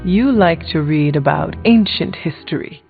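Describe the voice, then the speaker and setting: Composed, lowish-voiced game heroine. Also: female voice, lively intonation, a little slow, creaky, narrow, tinny audio, soft background music, full character voice. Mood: curious, friendly. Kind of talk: video game voice acting.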